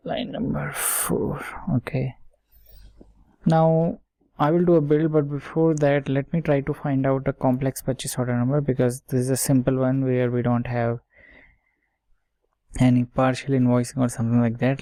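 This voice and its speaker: A man gives an informal software walkthrough in a mellow voice with little movement in pitch. He goes step by step, haltingly, checking himself as he works. The sound is dry and close. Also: no echo. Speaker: software tutorial instructor. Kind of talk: informal screen-share coding tutorial, thinking aloud.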